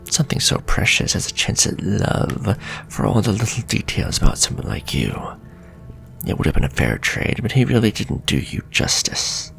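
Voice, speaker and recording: A man voicing a sly, sadistic warlock villain in a flirtatious ASMR fantasy roleplay, with a slow, teasing, half-whispered delivery. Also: on the low side, sing-song, gravelly, a bit bright, faint music behind, full character voice. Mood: amused flirting.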